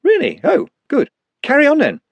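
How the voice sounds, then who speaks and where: A man voicing a flustered, high-pitched young wizard. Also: wildly swooping pitch, normal speed, vocal fry, no echo, heavily acted. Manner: flustered, fussy. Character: excitable high-strung young male wizard academic.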